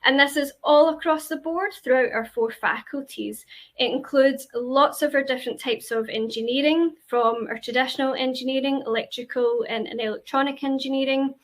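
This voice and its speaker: A woman's voice: high voice, normal speed. A woman with a Glaswegian accent giving an enthusiastic presentation to prospective students, with frequent fillers. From Scotland. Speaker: university representative.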